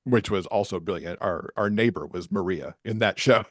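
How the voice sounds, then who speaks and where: A man with a bass voice chatting casually as a podcast guest, talking about himself in a self-deprecating way. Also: normal speed, no echo, clean studio sound. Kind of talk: casual chat.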